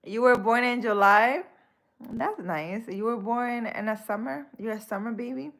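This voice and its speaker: A woman's voice: a little high, normal speed, creaky, a bit bright. A woman from the Republic, chatting casually with viewers on a live stream, laughing often and slipping in Spanish words. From Dominican Republic. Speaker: live-stream host, spiritual healer.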